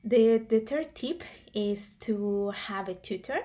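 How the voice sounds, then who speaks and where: A woman's voice: mid-range voice, slow pace, warm tone. A young woman who grew up in Italy, talking casually to camera as a vlogger, with many fillers and restarts.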